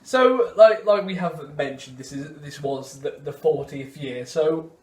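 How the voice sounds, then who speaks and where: Casual male interviewer, slightly high voice, roomy echoing sound. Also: a little slow, a little mellow. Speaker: interviewer and documentary presenter. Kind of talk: casual interview, informal presenting.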